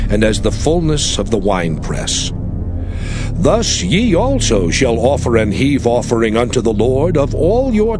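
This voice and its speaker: A man playing a solemn biblical lawgiver, declaiming commandments in a measured dramatized scripture reading. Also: mid-range voice.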